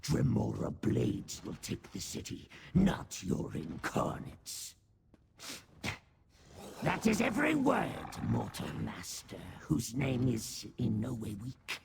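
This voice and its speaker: A man voicing a boastful, servile little imp in a fantasy game, with a gravelly voice and pompous, fawning delivery. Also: medium pitch, sing-song, slow pace, background noise, exaggerated voice. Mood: haughty. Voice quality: gravelly, creaky. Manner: pompous, theatrical, fawning to a master. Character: boastful, servile male daedric imp, eager and haughty. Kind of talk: fantasy video game acting.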